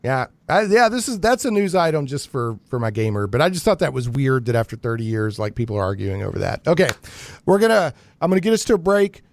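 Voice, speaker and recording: A man's voice: mid-range voice, very animated, fast talker, vocal fry. A man hosting a live geek talk radio show in a conversational, informal way.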